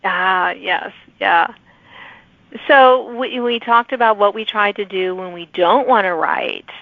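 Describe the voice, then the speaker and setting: A woman podcast host chats casually with a guest in an enthusiastic way. Her voice sits at a middle pitch, recorded dry with hardly any room around it, and slightly band-limited like a phone call. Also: slow pace, gravelly, dry recording. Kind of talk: casual interview chat.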